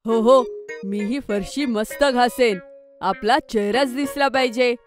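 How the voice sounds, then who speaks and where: An eager young boy acted by a woman with a high, boyish voice. He is helpful and scares easily. It is cartoon story acting for children, with music behind. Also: measured pace, natural voice.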